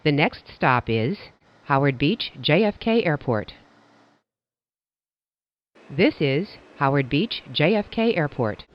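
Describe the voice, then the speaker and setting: Slow, dull-sounding subway announcer. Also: medium pitch, very animated. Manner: formal, clipped stock phrases. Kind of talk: scripted transit announcements.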